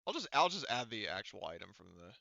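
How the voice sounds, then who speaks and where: A man's voice: fairly low pitch, sing-song, fast, creaky, bright, forceful tone, no room sound, dull audio. A man acting as game master in casual online play, explaining things hesitantly and thinking aloud as he goes.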